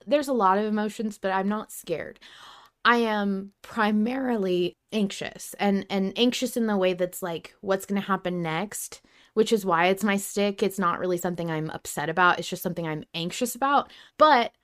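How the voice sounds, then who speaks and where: Woman podcaster chatting casually about stuff she loves, sincere and open, medium pitch. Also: moderate pace, a bit bright, clear, resonant tone. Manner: earnest, emotionally open. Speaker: podcast host. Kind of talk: casual fan discussion.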